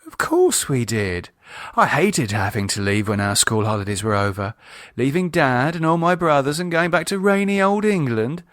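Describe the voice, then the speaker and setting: A man's voice: mid-range voice, very animated, normal speed, a bit bright, dry recording, studio quality, voice pushed up. A man voicing a confident, breezy English woman, a teasing sister, in an audiobook. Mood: breezy, nostalgic. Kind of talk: audiobook character acting.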